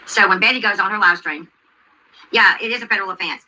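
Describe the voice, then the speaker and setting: A woman's voice: medium pitch, fast talker, sharp tone, a little hiss or hum, poor audio. A woman hosting a livestream, commenting on a video and answering chat in an insistent way, repeating herself and asking questions. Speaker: livestream host.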